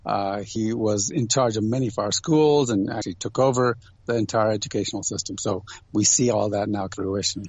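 A man's voice: fairly low pitch, fairly quick, few pauses, darkish tone, breathy edge, dry recording, muffled recording. A man, an interview guest who grew up in Ghana and other countries in an Indian family, explaining things through anecdotes in a conversational way. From Ghana and other countries abroad, Indian family. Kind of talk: conversational interview, personal storytelling.

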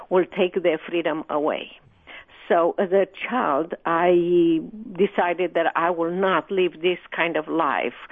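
A woman from Romania, a guest author telling her life story in an interview, speaking hesitantly with many ums. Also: fairly low pitch. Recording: tinny phone line.

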